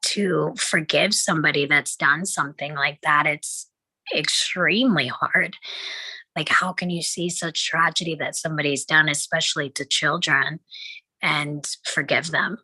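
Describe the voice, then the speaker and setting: A woman's voice: deep voice, fairly even intonation, a little slow, sharp tone, husky, no echo, rough recording. A woman talking reflectively in a group discussion after a script reading. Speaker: table read participant discussing a film script.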